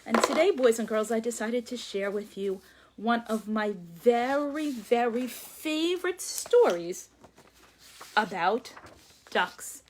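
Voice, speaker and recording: A woman's voice: on the high side, expressive, slow pace, a little hiss or hum. A woman children's librarian leading a read-aloud and sing-along, speaking to young children and inviting them to join in. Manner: addresses young children, invites counting and joining in. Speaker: children's librarian hosting a storytime.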